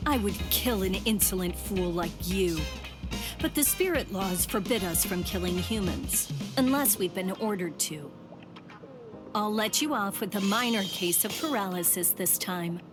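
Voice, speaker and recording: A woman performs an imperious young warrior spirit from an anime game. She commands in formal, deliberate phrases that rise and fall dramatically. Music is clearly audible behind her. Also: medium pitch, animated, close to an everyday voice. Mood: stern, composed. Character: proud, imperious young female supernatural warrior. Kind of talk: anime video game voice acting.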